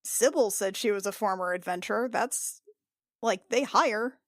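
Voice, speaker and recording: A woman's voice: medium pitch, normal speed, dry recording, clean studio sound. A woman playing a tabletop game, improvising casually in character with a blunt, informal manner.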